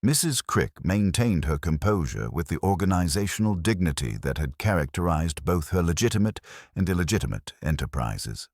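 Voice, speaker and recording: A man reading scripted mystery narration in a formal, literary style, with measured and precise delivery. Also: sits low, very animated, no echo, studio quality.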